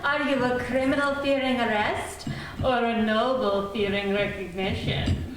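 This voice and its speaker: Imperious princess for radio serial, played by a woman: high, unhurried, grand, recorded distantly. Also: fairly even intonation, natural voice. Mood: coy, haughty. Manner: grand, deliberate. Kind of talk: old-time radio serial acting. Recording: distant, very echoey hall, faint noise.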